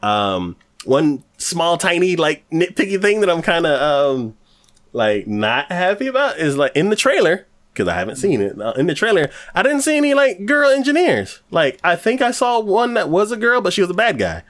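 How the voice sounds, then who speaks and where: A man's voice: sits high, huge pitch swings, normal speed, fairly bright tone. A man co-hosting an anime podcast, chatting casually and rambling with lots of fillers. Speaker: podcast co-host.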